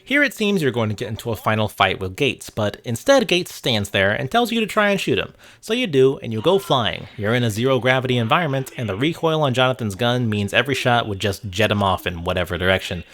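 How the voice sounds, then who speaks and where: A man narrates a video game essay in a casual, conversational way. His mid-pitched voice moves up and down a lot, giving his wry, sarcastic commentary plenty of animation. He swears casually as he goes. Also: big pitch swings, fairly quick, hardly any pauses, fairly bright tone. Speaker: host of a video game review channel.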